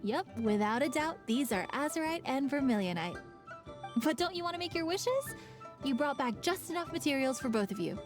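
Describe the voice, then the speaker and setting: A polite, businesslike young woman managing a festival lantern project in a video game. She speaks in a somewhat high, clear voice and explains things patiently, with faint music in the background. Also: lively intonation, moderate pace.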